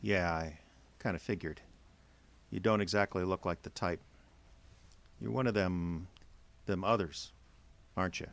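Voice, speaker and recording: A man's voice: low-pitched, measured pace, long pauses. A man playing a worried, kindly small-town bartender in a horror audio drama, folksy and a little hesitant. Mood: wary, pleading.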